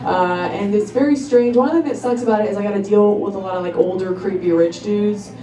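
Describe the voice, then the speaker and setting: Young woman doing standup, halting and laid-back, lots of ums, recorded from kinda far off with crowd noise. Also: mid-range voice. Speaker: stand-up comedian. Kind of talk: stand-up comedy set. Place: comedy club stage. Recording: roomy club recording from a distance, crowd noise. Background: audience laughter.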